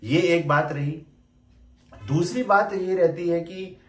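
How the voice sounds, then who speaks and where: A middle-aged man, calmly explaining medical matters like a teacher. The voice sits back from the microphone in a roomy, echoing space. His speech mixes in English medical terms. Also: a little high, slow pace, far from the mic. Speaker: doctor explaining hair loss. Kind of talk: educational talk. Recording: roomy sound, voice set back from the mic.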